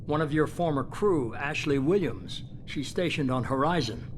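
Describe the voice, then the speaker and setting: Measured actor as a calculating male spymaster. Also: fairly high pitch, expressive, noisy background, lightly acted. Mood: grave, calculating. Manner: measured, controlled, commanding. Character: calculating, authoritative middle-aged male spymaster boss. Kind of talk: video game voice acting.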